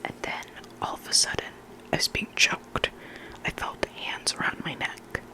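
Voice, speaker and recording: A hushed, breathy whisper reading stories slowly and deliberately in ASMR style, close to the microphone. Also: rough recording. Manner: slow, deliberate, hushed, with repeated trigger words. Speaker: host reading listener horror stories.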